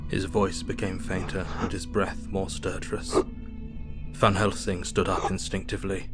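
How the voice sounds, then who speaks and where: An actor playing a grim, shaken doctor narrating his diary in a measured, hushed and grave way in a horror audio drama. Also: deep voice, level tone, music in the background, natural voice. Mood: grim, tense.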